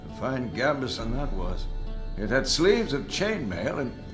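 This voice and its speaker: Veteran monster hunter: old, gruff, slow delivery with animated intonation, game dialogue over music. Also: male voice, medium pitch. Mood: nostalgic. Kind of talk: video game dialogue acting. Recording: music underneath.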